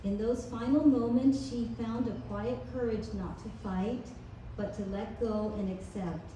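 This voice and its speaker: A woman reads a eulogy at a memorial in a measured, tender way, her tone mellow. She is heard from a distance in a very echoey room, with faint noise behind her. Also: a little high, fairly even intonation. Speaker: family member giving a eulogy. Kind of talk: reading a prepared eulogy. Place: memorial gathering in a large room.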